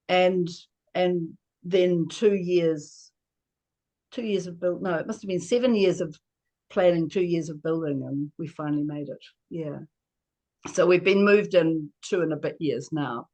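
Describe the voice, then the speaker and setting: An older woman gives an informal talk. Her voice sits at a medium pitch, and she feels her way through sentences, stopping to correct herself. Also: a little mellow. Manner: halting, self-correcting. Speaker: co-housing resident presenting. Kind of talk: informal presentation. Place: video call from Dunedin.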